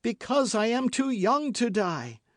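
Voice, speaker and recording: A man voicing a desperate condemned young woman who shrieks defiance and pleads for her life, high and strained. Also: measured pace, dry recording, studio quality, natural voice. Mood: pleading. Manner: shrill, cries out in high strained pleas.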